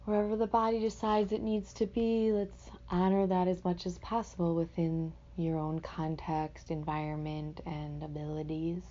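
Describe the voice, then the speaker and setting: Mellow, low-voiced woman guiding meditation. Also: level tone, dull audio. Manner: gentle, unhurried phrasing with reflective pauses. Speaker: meditation teacher. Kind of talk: guided meditation, unscripted.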